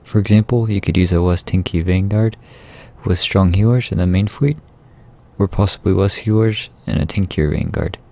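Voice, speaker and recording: A man reading a scripted video game guide in a measured, instructional way. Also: deep voice, warm tone. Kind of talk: scripted guide narration over gameplay.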